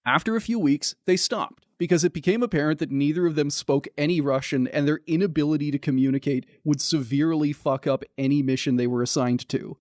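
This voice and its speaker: A man's voice: on the high side, brisk, few pauses, a little mellow, dry recording, clean studio sound. A man co-hosting a casual history podcast, telling the story in a laid-back way with lots of fillers. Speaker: podcast co-host.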